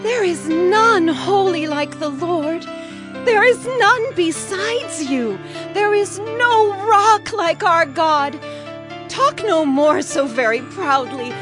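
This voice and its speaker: An actress playing a devout, grateful biblical mother in a dramatized scripture reading, speaking in a solemn, fervent, measured way. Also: very high-pitched, animated, music in the background, full character voice. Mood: exultant, solemn.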